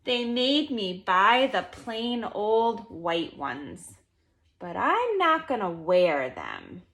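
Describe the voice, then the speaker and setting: A woman in her forties reading a children's picture book aloud expressively, with deliberate, emphatic phrasing. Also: medium pitch, wildly swooping pitch, fairly bright tone. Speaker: storybook reader. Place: living room at home, indoors.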